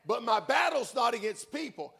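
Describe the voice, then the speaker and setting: A man's voice: very high-pitched, expressive, normal speed, vocal fry, husky. A man preaching as pastor, who questions a couple on stage and turns emphatic as he drives his points home. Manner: emphatic, swings from quiet to loud. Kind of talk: sermon with interview on stage.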